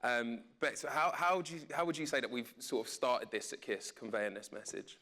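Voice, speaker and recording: A man speaks in a medium-pitched voice as a radio presenter on a panel. His delivery is informal and conversational, suited to steering a relaxed discussion. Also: normal speed. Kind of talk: casual panel chat.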